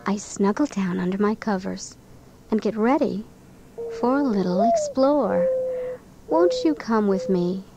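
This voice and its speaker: A woman narrating a guided bedtime relaxation for children in a gentle, soothing, unhurried manner. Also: medium pitch, very animated, loud music behind the voice. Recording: clearly noisy recording with background ambience.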